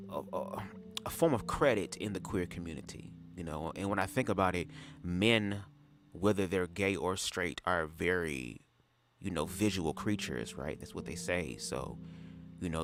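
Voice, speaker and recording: A man hosting a casual livestream, chatty and slangy, talking straight to his viewers with a stuffy nose. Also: deep voice. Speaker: livestream host.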